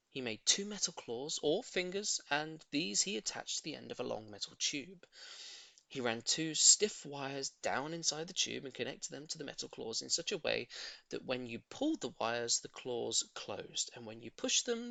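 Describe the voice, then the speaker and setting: Animated, bright-voiced storybook reader, a man. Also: medium pitch, moderate pace, gravelly, dry recording. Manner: expressive, theatrical emphasis. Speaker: audiobook reader. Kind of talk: animated children's storybook narration.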